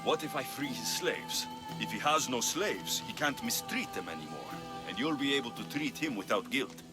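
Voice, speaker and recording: A man's voice: mid-range voice, lively intonation, moderate pace, lightly acted. A man playing a principled young mercenary in a video game, speaking directly and firmly. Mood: firm, earnest. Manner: direct, firm. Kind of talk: dramatic game cutscene dialogue. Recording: music bed behind voice.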